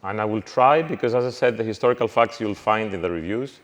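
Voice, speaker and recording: A middle-aged man giving a university lecture, halting, with frequent fillers and restarts. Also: lowish voice. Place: lecture hall. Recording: reverberant lecture hall.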